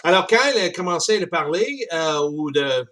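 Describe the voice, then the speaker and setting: Halting man with a Canadian French accent. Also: on the high side, lively intonation, a bit bright. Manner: halting, with frequent restarts and chuckles. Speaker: speaker discussing a book. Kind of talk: casual spoken commentary.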